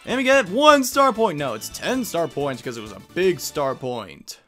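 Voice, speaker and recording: A man with a fairly high, very lively voice gives chatty game commentary. He explains things as he plays, with music behind him. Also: normal speed. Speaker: let's play host and video game commentator. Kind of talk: game commentary over gameplay. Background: video game music.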